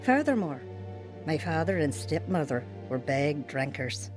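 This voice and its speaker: A woman's voice: low-pitched, very animated, measured pace, darkish tone, faint music behind. A woman playing a vulnerable, earnest young wife and mother in a radio drama. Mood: somber, reflective. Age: young adult. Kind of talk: radio drama acting and first-person narration.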